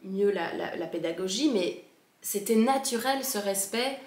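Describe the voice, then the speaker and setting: A woman fondly recalling her school years in a relaxed chat, often pausing and restarting her sentences. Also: medium pitch. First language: French. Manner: hesitant, many fillers and restarts. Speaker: former Steiner school pupil. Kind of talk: casual recollection. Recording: echoey room.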